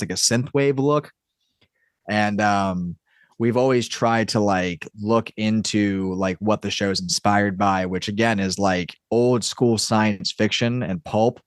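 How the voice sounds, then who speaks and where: A man with a fairly low voice speaks casually as a podcast guest. He thinks aloud in a rambling, halting way, circling back on his phrases. The sound is dry, with very little room. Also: smooth, dry recording. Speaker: podcast guest, audio drama creator. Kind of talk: casual interview chat.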